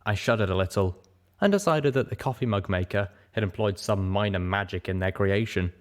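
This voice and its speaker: A man's voice: on the low side, brisk. A man narrating a first-person fantasy story as a dramatic audiobook reader.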